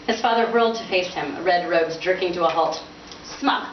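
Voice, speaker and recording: A woman author giving an expressive, lightly dramatized live reading of fiction. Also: lowish voice, a little fast, recorded from a distance. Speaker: author reading from their novel. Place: indoors in a bookstore. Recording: muffled, echoey, noisy room from afar.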